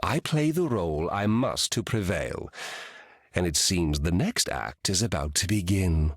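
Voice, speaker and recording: An actor voicing a sly, scheming trickster god for a video game, sardonic and theatrical. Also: male voice, deep voice, sing-song, a little slow, husky, no room sound, studio quality, heavily acted.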